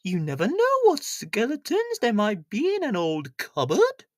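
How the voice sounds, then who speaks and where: A woman voicing an excitable, gushing country village lady in a children's storybook. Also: fairly high pitch, unhurried, no room sound, clean studio sound, pitched above the performer's own voice. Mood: curious, sly. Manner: gushing, theatrical.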